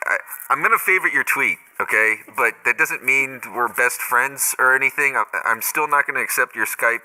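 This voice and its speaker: A man's voice: medium pitch, normal speed, sharp tone, poor audio. A man playing an exasperated, blunt, foul-mouthed caller in an improvised comedy voicemail skit. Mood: weary, apologetic. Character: exasperated, foul-mouthed man phoning his clingy friend.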